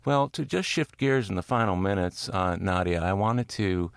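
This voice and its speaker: A mature man with a deep voice and plenty of vocal fry hosts an interview. He is measured and sympathetic, framing his questions reflectively. The sound is very dry. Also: animated, no room sound. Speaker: interviewer, podcast host.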